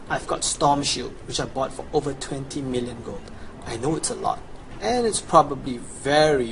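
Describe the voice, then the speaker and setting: A man's voice: mid-range voice, vocal fry, slight background noise, low-quality recording. A young man with a Chinese accent, boasting through a promotional gameplay voice-over as he lists his gear and stats. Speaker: gamer promoting a gold guide.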